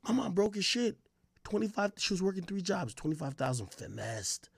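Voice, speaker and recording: A man's voice: high-pitched, big pitch swings, moderate pace, vocal fry, slightly rough, dry recording, clean studio sound. A man hosting an unscripted reaction podcast, talking in a brash, slangy, profane and emphatic way. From Jamaica. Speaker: podcast host and commentator. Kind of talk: unscripted reaction commentary, casual streamer talk.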